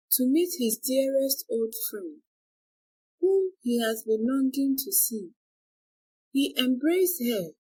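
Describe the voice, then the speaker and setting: Woman reading a folktale, slow n expressive, slightly husky voice, dramatic storytelling. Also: a little high, poor audio. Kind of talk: scripted dramatic folktale narration.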